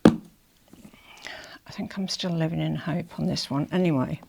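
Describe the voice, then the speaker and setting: An older woman with a croaky, hoarse throat, chatting casually to camera as a fashion vlogger, rambling with confiding asides. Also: low-pitched, slow pace, whispered. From England.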